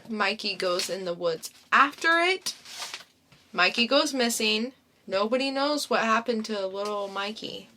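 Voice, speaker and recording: A young woman co-hosting a casual podcast, calmly recapping a comic's story. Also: medium pitch, a little hiss or hum. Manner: laid-back storyteller recapping a plot. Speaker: podcast co-host.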